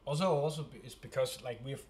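A man's voice: medium pitch, fairly quick, darkish tone. A man with a Danish accent, the band's singer, chatting casually in English and sometimes searching for words. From Denmark. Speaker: band member, singer.

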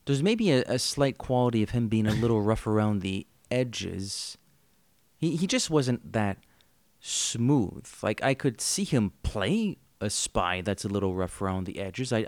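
A man co-hosting a podcast in casual chat, animated and opinionated, often jumping in mid-thought. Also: lowish voice, measured pace, dry recording. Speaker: podcast co-host.

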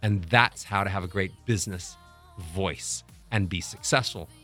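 A middle-aged man teaching as a voice coach, emphatic and demonstrating how to change loudness and pitch. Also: moderate pace, faint music behind. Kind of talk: motivational teaching talk.

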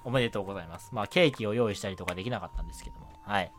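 A man's voice: on the low side, very fast, faint music behind. A man hosting a casual solo radio show, talking in a chatty, rambling way and often interrupting himself. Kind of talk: casual solo radio chat about anime.

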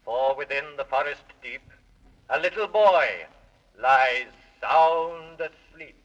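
A man's voice: medium pitch, animated, a bit bright. A man playing a solemn magic mirror that intones rhymed prophecy in stately fashion, in 1930s children's radio drama style. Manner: stately, measured rhyming intonation. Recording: muffled old 1930s radio recording.

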